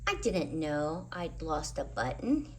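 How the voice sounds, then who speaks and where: A woman's voice: deep voice, sing-song, takes it slowly, a little mellow, pitched below the performer's own voice, exaggerated voice. A woman voicing a small toy bear in a storybook, innocent and full of wonder, musing earnestly to himself. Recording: distant microphone with faint background noise.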